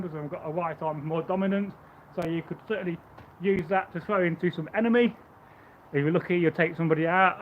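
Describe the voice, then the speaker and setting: A man live-streaming as a Viking reenactor, chatting loosely and stammering through restarts with a loud voice, outdoors at home. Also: fairly high pitch, narrow, tinny audio, slight background noise. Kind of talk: casual live stream chat and demonstration.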